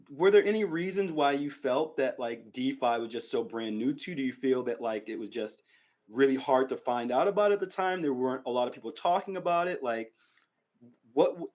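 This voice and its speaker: A podcast host, a man, asks long, loose questions and hedges them with lots of "like" and "you know." His voice sits at a middle pitch. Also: a little mellow. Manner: rambling, hedging questions with many "like"s. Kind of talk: casual interview questions.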